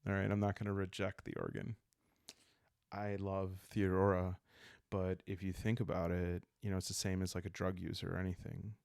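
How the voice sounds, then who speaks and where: Low, gravelly man, calmly narrating. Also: no room sound, studio quality. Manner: soft-spoken, calm and unhurried, thinking aloud. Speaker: host playing a solo journaling roleplay game. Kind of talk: calm, improvised storytelling game playthrough for sleep listening.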